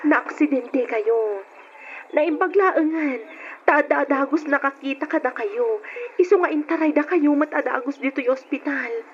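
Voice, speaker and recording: An actress playing a dutiful, forgiving young daughter-in-law in an earnest, expressive radio drama style. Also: female voice, high voice, measured pace. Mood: concerned. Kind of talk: old-time radio drama acting. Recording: dull, noisy old radio recording.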